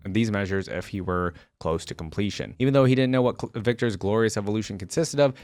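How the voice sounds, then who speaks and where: A man reading a story aloud as a reaction creator, breaking into informal, casual commentary. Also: on the low side. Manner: informal, filler-heavy asides between read passages.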